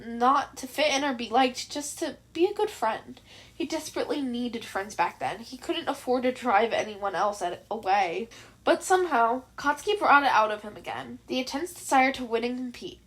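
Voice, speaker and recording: A woman reading fan fiction aloud as an expressive amateur story narrator. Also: fairly high pitch, moderate pace.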